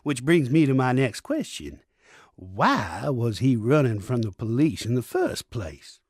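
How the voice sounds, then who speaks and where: An actor playing an earnest prosecutor in a video game, questioning a lawyer with probing, increasingly flustered questions. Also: male voice, mid-range voice, big pitch swings, unhurried, darkish tone, husky, no room sound, clean studio sound. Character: earnest, easily baffled male prosecutor.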